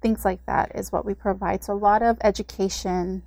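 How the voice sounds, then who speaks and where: A woman's voice: mid-range voice, steady tone, normal speed, creaky, a little mellow, no echo. A woman who is a community services director, explaining steadily in an interview with the occasional filler. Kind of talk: informational interview.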